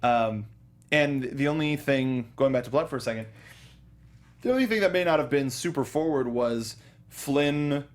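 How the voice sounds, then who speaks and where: A man's voice: medium pitch, normal speed. A young man co-hosting a casual movie review chat, rambling with many restarts and fillers. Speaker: podcast co-host.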